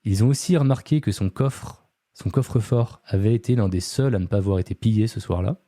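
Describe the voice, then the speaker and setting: A man's voice: lowish voice, fairly quick, dark tone, no echo, clean studio sound. A man hosting a podcast, telling a true-crime story casually, with many fillers and chatty asides to his co-host.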